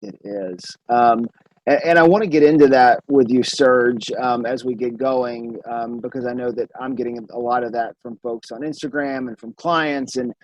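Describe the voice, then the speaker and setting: Male host, enthusiastic but halting, mid pitch, dry. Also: fairly even intonation, a little mellow, dry recording, poor audio. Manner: enthusiastic, halting, many fillers. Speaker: podcast host. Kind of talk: casual interview. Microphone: remote recording.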